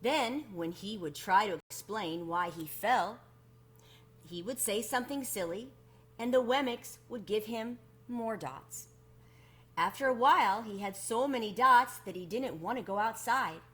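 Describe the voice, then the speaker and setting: A woman's voice: a little high, lively intonation, measured pace, a bit bright. Woman reading a children's storybook aloud. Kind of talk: children's picture book read-aloud.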